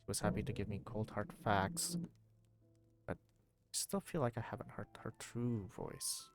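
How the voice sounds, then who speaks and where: A man's voice: lowish voice, lively intonation, measured pace, soft background music. Man musing aloud as he streams a game. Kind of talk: casual commentary while playing a video game.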